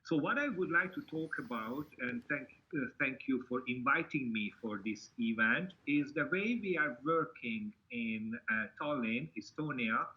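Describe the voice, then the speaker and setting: A man's voice: mid-range voice, expressive, unhurried, few pauses. A man from Hungary with a Hungarian accent, giving an online seminar presentation with frequent hesitant fillers over a video call. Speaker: guest speaker, lecturer. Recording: dull lo-fi video call audio.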